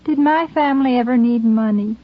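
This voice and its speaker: Lady acting a fussy prim frontier sweetheart, slightly high voice, scolding and coaxing, muffled 50s radio drama. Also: a little fast, lightly acted. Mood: reproachful. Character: prim, reproachful frontier sweetheart, concerned with respectability. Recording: dull 1950s radio broadcast.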